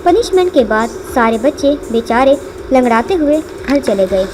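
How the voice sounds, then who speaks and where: Female storybook narrator, high register, scripted read over a music bed. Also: expressive, moderate pace. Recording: music behind the voice.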